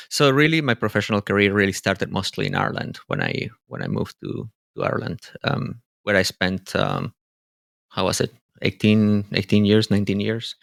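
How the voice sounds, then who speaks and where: A man's voice: fairly low pitch, creaky, fairly bright tone, dry recording, clean studio sound. A man in his forties from Venezuela, a guest in a casual interview, talking thoughtfully and rambling with many restarts.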